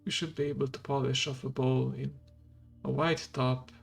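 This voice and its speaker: A man whose first language is Hungarian, streaming as a VTuber in a soft ASMR style, speaking slowly and quietly and slipping into a whisper. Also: mid-range voice, level tone. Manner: slow, hushed and gravelly, drifting into whisper. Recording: faint background music.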